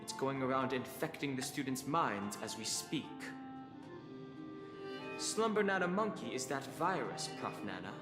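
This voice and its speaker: A calm young investigator voiced by a man speaks in a level, explanatory tone at a middle pitch. Long reverb trails his lines while game music plays underneath. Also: a little slow, lightly acted. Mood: steady. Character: calm young male investigator, steady and direct. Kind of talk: video game voice acting.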